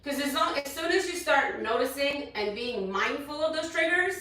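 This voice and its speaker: A woman in her thirties with a loud voice, a fitness coach giving a chatty motivational talk to her followers from a hotel room and repeating her points for emphasis. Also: high voice, lively intonation, moderate pace. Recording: very echoey room, voice from a distance.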